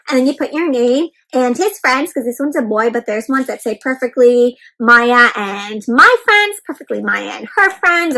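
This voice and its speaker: Female kids' storybook host, high-pitched, animated, interactive delivery aimed at little listeners. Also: rough recording. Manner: animated, asks listeners questions, addresses them as friends. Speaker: children's read-aloud host. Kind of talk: interactive picture book read-aloud for young children.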